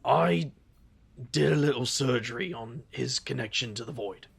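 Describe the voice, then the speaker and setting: A man playing a roguish, sardonic supernatural fellow with dark secrets in an audio drama, speaking wryly. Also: medium pitch, moderate pace, creaky, breathy edge. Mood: grave, guarded.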